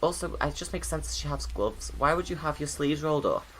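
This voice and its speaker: A man's voice: on the high side, expressive, a little fast, fairly bright tone, low-quality recording. A man giving chatty, informal creator commentary over a film. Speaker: video creator. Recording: background film sound under commentary. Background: game movie soundtrack and ambience.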